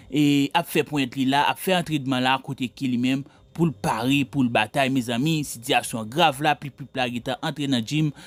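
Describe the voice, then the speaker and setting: A man with a Haitian Creole accent hosting a news commentary show, speaking emphatically with repeated phrases. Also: mid-range voice.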